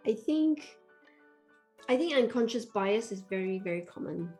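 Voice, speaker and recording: A woman's voice: medium pitch, expressive, measured pace, darkish tone, faint music behind. A woman in her forties from Malaysia hosting a podcast over a video call, speaking in a thoughtful, reassuring way. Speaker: podcast host. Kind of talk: casual video-call interview. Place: home room.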